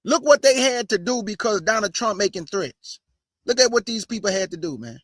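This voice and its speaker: High-voiced preachy man, bone-dry sound. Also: expressive, normal speed, a bit bright, no room sound. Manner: preachy, addresses audience as family. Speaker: host. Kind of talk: casual religious commentary.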